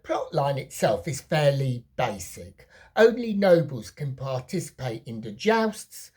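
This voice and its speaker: A woman giving a personal film review in a conversational, opinionated way, breaking her sentences into deliberate, emphatic phrases. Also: low-pitched, a little mellow, husky. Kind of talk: personal movie review to camera.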